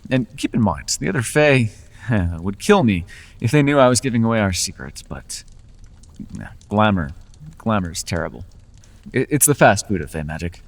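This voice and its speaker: A man's voice: on the low side, very animated, moderate pace, long reverb tail. A man playing a sly, coaxing Fae dealer. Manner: coaxing, playful salesman patter. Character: charming male Fae dealer, persuasive and sly. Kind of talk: ASMR roleplay.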